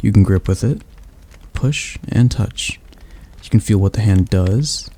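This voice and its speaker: A man voicing a patient male demon tutor who teaches magic in a calm, gently commanding ASMR roleplay. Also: lowish voice, measured pace. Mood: coaxing.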